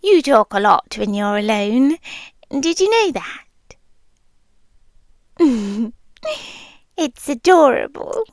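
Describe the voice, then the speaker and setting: A British woman voicing a possessive, doting female spider monster in an intimate ASMR roleplay, speaking softly, often whispering, with sweet menace. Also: fairly high pitch, big pitch swings, slow pace, pauses often, dry recording, studio quality, heavily acted. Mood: adoring, teasing.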